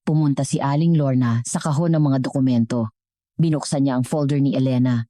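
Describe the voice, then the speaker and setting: A woman reading scripted dramatic story narration in a measured way, as the narrator of an audio drama. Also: extremely low pitch, steady tone, dark tone, dry recording, studio quality.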